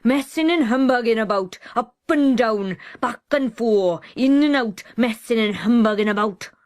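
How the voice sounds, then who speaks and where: A woman voicing a loud, hectoring, bullying middle-aged man. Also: fairly high pitch, moderate pace, pitched above the performer's own voice, lightly acted. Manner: roaring, hectoring.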